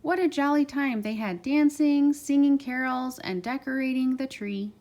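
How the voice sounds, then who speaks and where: A woman's voice: high voice, moderate pace, darkish tone. A woman narrating a children's storybook aloud as a parent, expressively stressing key words.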